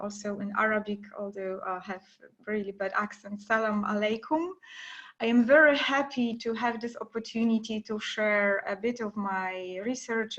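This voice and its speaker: A woman with a Polish accent presents informally on a webinar. Her delivery is halting, with repeated words and searching pauses. Her pitch is average for a woman, and the call audio is dull and lo-fi. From Poland. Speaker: webinar presenter, trainer and academic teacher. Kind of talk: informal lecture to an online audience.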